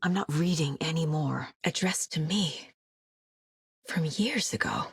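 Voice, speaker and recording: Casting: determined female federal investigator for a dramatic game, very husky, low register, close dry recording. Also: measured pace, dry recording, exaggerated voice. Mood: hushed, brooding. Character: focused, determined female FBI investigator, troubled but dryly wry. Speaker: video game voice actress.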